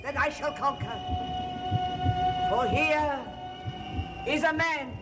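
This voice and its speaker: A woman's voice: high voice, slow. An actress playing a defiant conjure woman in a gothic soap opera, declaiming incantations solemnly over music. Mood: solemn, commanding. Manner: solemn, declamatory, ritual delivery. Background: loud eerie music and humming.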